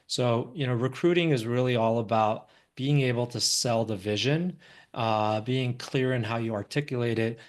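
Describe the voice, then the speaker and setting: An American man speaks as an investor giving a live, informal talk. His voice sits at an average pitch. He explains things conversationally and often checks that listeners follow. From Los Angeles, United States. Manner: conversational, frequently checks in with "right?". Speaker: venture capital investor giving a presentation. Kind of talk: informal live startup presentation.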